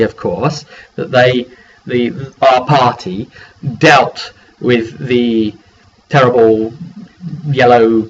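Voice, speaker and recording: A muffled, lo-fi recording with faint noise carries a man talking casually as a game master. He rambles through an unscripted campaign recap, halting, repeating words and drifting into asides at a mid-range pitch. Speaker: game master and vidcast host. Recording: dull lo-fi sound with faint background noise.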